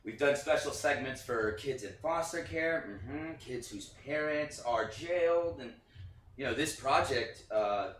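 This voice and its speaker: A man playing an earnest, flustered children's TV puppeteer in a staged play reading, speaking haltingly. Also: mid-range voice, expressive, a bit bright. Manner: halting, earnest, nervous chuckles. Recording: echoey, distant, faintly noisy reading audio.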